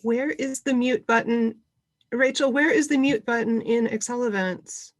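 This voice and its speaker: A woman's voice: on the high side, a little slow. Informal woman co-hosting an online session. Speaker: co-host presenting an online convention session. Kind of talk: informal webinar introduction.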